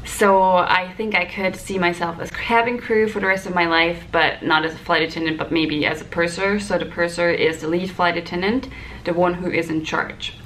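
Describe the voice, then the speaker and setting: A young woman whose first language is German talks in a slightly low voice. She explains things informally, as in a casual vlog to camera. Some background noise from the hotel room sits behind her. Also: steady tone, moderate pace, a bit bright, low-quality recording. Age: 20s. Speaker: vlogger, flight attendant. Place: small hotel room, indoors.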